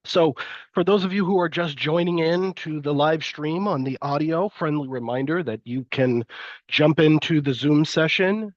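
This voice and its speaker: Man, congested with a cold, lecturing, halting with fillers, slightly high voice, dry muffled sound. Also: no echo. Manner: hesitant, many fillers and restarts. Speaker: university lecturer. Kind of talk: live-streamed lecture.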